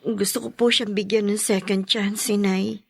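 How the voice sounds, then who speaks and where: An actress with a Filipino accent playing a hurt, forgiving wife in a radio drama, pleading and emotional. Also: female voice, medium pitch, level tone, normal speed, creaky, husky, no room sound. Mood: subdued, resigned.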